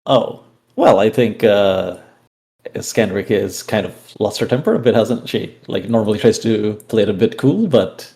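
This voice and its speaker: A man with a relaxed, mellow voice on the low side. He explains things casually as a tabletop player, in a halting, thoughtful way. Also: creaky. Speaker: player in a tabletop roleplaying game.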